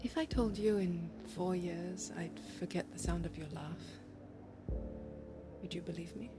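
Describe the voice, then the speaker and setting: A woman's voice: fairly low pitch, measured pace, over music, close to an everyday voice. A woman playing a melancholy, possessive lover in an intimate audio drama, speaking in an intense, poetic, confessional way. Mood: quietly wounded. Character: melancholy, possessive heartbroken lover, an actor by trade.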